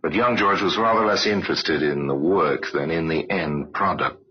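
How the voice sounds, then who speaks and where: A man giving measured, wry scripted narration for an old-time radio crime drama. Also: extremely low pitch. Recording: dull, lo-fi vintage radio recording.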